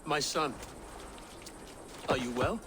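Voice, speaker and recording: An actor playing a solemn new king and father in a video game, speaking formally and gravely. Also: mid-range voice, slow, noisy background, close to an everyday voice. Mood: concerned. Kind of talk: video game cutscene acting.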